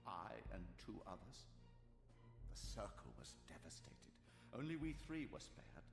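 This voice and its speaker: Game villain: ancient scheming time sorcerer, lowish creaky voice, grandiose and oily, cavernous reverb. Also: male voice, wildly swooping pitch, exaggerated voice. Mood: grave, bitter. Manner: grandiose, unctuous, deliberate, ornate phrasing. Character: sly, aged, silver-tongued sorcerer guarding time. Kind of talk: theatrical video game voice acting. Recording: echoey hall reverb, music behind.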